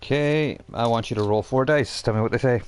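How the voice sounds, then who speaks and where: A man hosting and narrating a tabletop role-playing game as game master, explaining things in an informal, halting way. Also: mid-range voice, a little hiss or hum. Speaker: game master and stream host.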